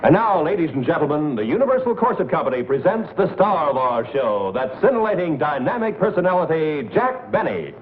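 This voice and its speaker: Tinny old-time announcer. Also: male voice, a little high, big pitch swings, a little fast, hardly any pauses. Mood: grand, formal. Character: formal male radio announcer at an audition. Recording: tinny old broadcast soundtrack, faint noise.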